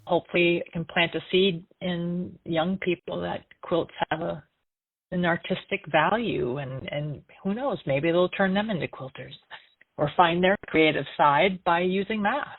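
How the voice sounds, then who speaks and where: A woman's voice: on the low side, a little slow. Woman interviewed over a thin phone-like line. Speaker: quilt artist being interviewed. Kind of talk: conversational interview answers.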